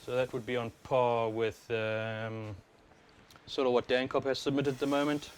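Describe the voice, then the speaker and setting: A South African man hosts a live stream in a casual, explanatory way. His voice sits at an average male pitch. He talks informally into a worn wireless mic, with some ambient noise behind him. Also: moderate pace. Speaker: livestream host, overclocker. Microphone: wireless worn microphone. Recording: clear steady background noise.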